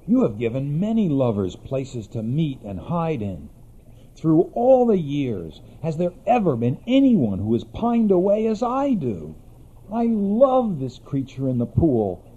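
A man's voice: sits high, mellow, slightly rough, full character voice. An actor playing an anguished young man in love with his own reflection, pleading in an impassioned, declamatory way. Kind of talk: radio drama acting. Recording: dull lo-fi recording.

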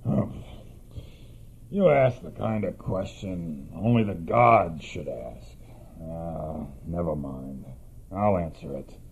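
An actor playing a grudging, cryptic old male seer with a gravelly, husky voice in a dramatized myth. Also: sits low, slow, darkish tone, highs cut off, exaggerated voice.

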